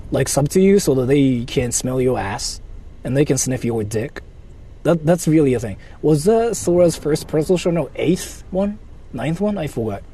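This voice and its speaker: A man's voice: on the high side, gravelly. A man chatting casually on a livestream, rambling hesitantly and repeating himself. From Japan. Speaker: live streamer. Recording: loud car and street noise.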